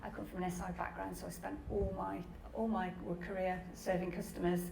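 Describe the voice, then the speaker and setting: Woman panelist, super gravelly fry, echoey noisy room, talking about her job. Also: on the low side, little pitch movement, a little fast. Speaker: security consultancy panelist. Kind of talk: self-introduction on a conference panel. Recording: echoey room, clear background noise.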